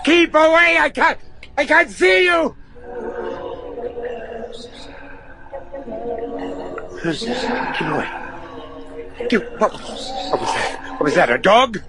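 An actor playing a grave, anxious judge in a gothic horror radio play, with theatrical, deliberate delivery. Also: male voice, very high voice, lively intonation, creaky, background noise, full character voice. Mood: terrified. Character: grave, anxious judge searching for his missing son.

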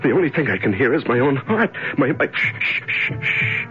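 An actor playing a frightened, bewildered male prisoner in a radio drama, halting and stammering as he repeats himself. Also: mid-range voice, sing-song, lightly acted. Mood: fearful self-soothing. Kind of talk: 1970s radio drama acting. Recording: muffled 1970s radio recording, music behind.

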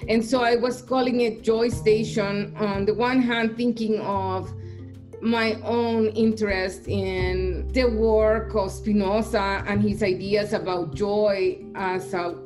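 A woman in her forties from El Salvador, an artist and professor, speaking thoughtfully at home over a webcam with frequent um and uh pauses. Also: medium pitch, level tone, darkish tone. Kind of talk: reflective interview monologue. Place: indoor home room. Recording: music playing under the voice. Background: guitar music.

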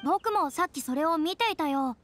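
High-voiced, boyishly spoken young lady. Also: animated, moderate pace. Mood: curious, thoughtful. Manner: boyish phrasing, slightly theatrical, wondering. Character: youthful theatrical young lady, curious, earnest, boyish speech. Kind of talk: Japanese anime game voice acting. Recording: studio voice with music underneath.

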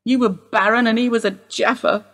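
An actress playing an older woman being questioned by police, guarded and wry in a husky voice. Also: a little high, steady tone, brisk, fairly bright tone. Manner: guarded, dry, wistful. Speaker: police interview suspect. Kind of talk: radio play acting.

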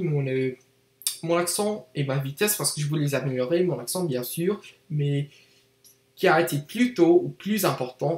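Young canadian guy learning french, echoey room. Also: on the high side. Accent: English-speaking Canadian learner of French, with some Quebec French. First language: English. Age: young adult. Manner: hesitant, many fillers and self-corrections, gestures with hands. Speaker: vlogger. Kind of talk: unscripted casual monologue to camera. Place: small indoor room, seated in desk chair.

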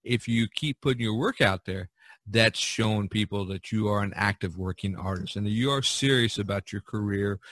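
A man's voice: lowish voice, normal speed, fairly bright tone, dry recording. A man hosting a casual podcast, giving advice in a rambling, folksy way full of "you know". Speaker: podcast host and painter. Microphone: video call recording.

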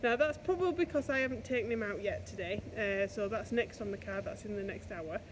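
A woman's voice: medium pitch, brisk. A woman in her forties, a farmer, chatting to the camera in a casual walk-and-talk vlog from a livestock barn, filmed on a phone held at arm's length. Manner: chatty, talks to the camera while walking between animal pens. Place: livestock barn, partly outdoors in a farmyard. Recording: noisy phone video with farmyard sounds behind.

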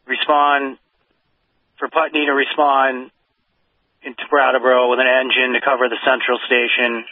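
Man, fire dispatcher, formal measured announcements, two-way radio, narrow muffled band, dry sound. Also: mid-range voice, dry recording. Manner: formal, measured, repeats each call. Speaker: fire mutual aid dispatcher. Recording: dull, band-limited radio dispatch audio.

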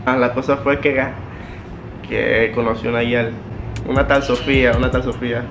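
A man's voice: medium pitch, level tone, normal speed, background noise. A man in his early twenties with a Panamanian Spanish accent, chatting casually and in slang as a YouTuber making prank phone calls. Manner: slangy, informal. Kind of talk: casual prank-call chat. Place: living room indoors, on a couch.

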